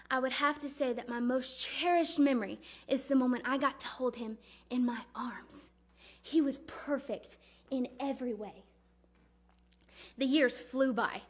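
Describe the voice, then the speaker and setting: A woman performing a dramatic religious monologue as a grieving, devout mother recalling her son, speaking earnestly and deliberately. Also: sits high, fairly bright tone. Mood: tender wonder. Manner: earnest, reverent, deliberate storytelling.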